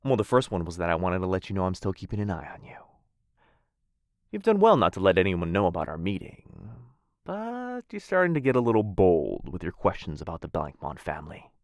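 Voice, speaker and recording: Man acting a low, intimate lovestruck assassin. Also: very animated, a little fast, no echo, studio quality. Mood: smug, teasing. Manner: intimate, teasing, formal old-fashioned phrasing. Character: smooth, menacing yet lovestruck male assassin. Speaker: voice actor. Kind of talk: boyfriend ASMR roleplay.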